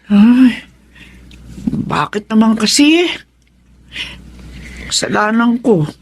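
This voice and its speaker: A worried mother in a radio drama. She is middle-aged, gentle and devout. Her voice moves up and down a great deal, soothing yet tinged with sorrow. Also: on the high side, expressive, drawn-out words, slight background noise, full character voice. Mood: regretful. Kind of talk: Tagalog radio drama acting.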